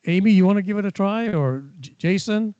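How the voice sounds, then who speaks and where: A man's voice: high voice, lively intonation, fairly quick, mellow, highs cut off. A man moderating a town hall meeting, reading out questions and making wry asides.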